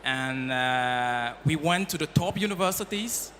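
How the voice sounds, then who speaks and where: A man from Cameroon talks about his startup on a panel in a bright, forceful voice. He is hesitant and restarts often. Faint noise sits behind him. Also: a little high, lively intonation, measured pace. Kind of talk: panel discussion.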